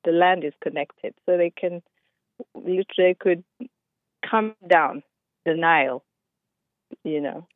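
A woman's voice: lowish voice, fairly even intonation, slow, long pauses, no room sound. A woman from Uganda calls in to a radio show and explains things patiently and earnestly, with light laughs. Her voice comes through a thin, tinny phone line. The sound is dry. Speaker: caller.

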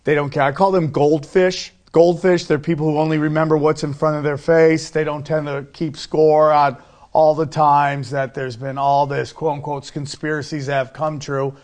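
A man's voice: a little high, flat delivery, moderate pace, darkish tone. A middle-aged man talking casually as an interview guest, a comedian who tells things in an emphatic way, repeating himself for effect.

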